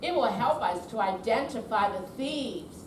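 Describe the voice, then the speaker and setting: Brief: woman as glib female bank impostor, high voice, officious and soothing, readers theater, recorded from far off. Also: animated, measured pace. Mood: reassuring. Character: smooth, reassuring woman con artist posing as bank caller. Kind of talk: amateur readers theater stage reading. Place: indoor senior center performance room. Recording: distant echoey hall, faint noise.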